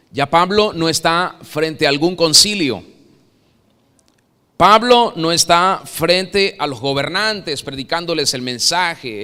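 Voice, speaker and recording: A Venezuelan man delivers a sermon with a bright, ringing voice pitched a touch high. He surges to loud, dramatic peaks and then drops to near whispers. The church hall carries a long reverb. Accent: Venezuelan Spanish. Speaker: pastor. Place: church sanctuary.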